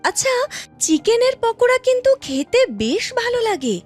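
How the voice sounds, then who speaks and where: A woman acts out a kind young street seller in a village cartoon. The voice is extremely high and swings up and down in an earnest, eager sing-song. Music plays behind it. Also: big pitch swings, normal speed, a little mellow. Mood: musing. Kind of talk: cartoon story character voice.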